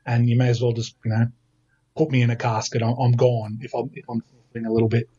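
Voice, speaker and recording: A man's voice: mid-range voice, flat delivery, fast talker, mellow, breathy edge, highs cut off. A man in his thirties with an Australian accent, a podcast guest chatting casually and joking at his own expense, often restarting his sentences. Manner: chatty, self-mocking, frequent restarts. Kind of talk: casual chat.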